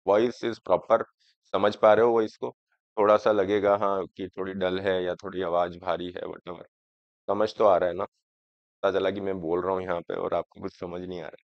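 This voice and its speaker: Hoarse man teaching a class. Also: low voice, level tone, dry recording. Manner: explaining slowly, often asking students if it is clear. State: hoarse throat from a cold. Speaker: exam coaching teacher. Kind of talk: lecture on current affairs, Hindi mixed with English. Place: studio classroom, in front of a screen. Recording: dry, slightly dull sound.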